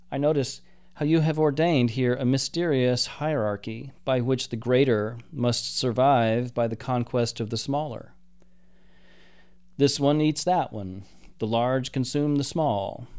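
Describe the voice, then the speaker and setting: A man voicing a solemn male archangel who speaks in a grave, measured way. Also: mid-range voice, a little mellow, smooth, close to an everyday voice.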